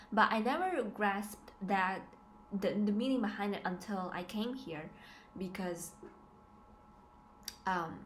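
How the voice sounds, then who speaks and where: A woman in her twenties talking casually and confessionally to a camera indoors, hesitant yet emphatic, often repeating her words. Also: medium pitch. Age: 20s. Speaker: student living abroad, vlogger. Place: small plain room indoors. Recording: noisy home camera recording.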